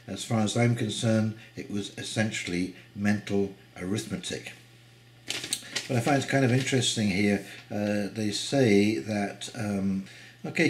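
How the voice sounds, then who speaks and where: Older man, stuffed up from a cold, low voice, rambling news commentary, echoey room. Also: a little hiss or hum. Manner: rambling, many ums and uhs, indignant. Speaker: channel host. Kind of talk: casual commentary reading news.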